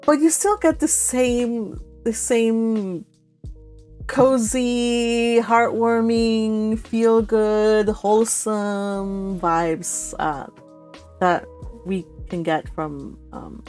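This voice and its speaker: A woman's voice: fairly high pitch, steady tone, very slow, a little mellow, clean tone, faint music behind. A woman chatting casually and giggly as host of a book club read-along. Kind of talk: casual chat.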